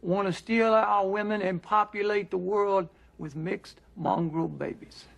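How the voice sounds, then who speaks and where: An actor playing a menacing, smug old Southern uncle in a film drama, slow and self-righteous, with a Southern US accent. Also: male voice, high voice, steady tone, vocal fry. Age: older.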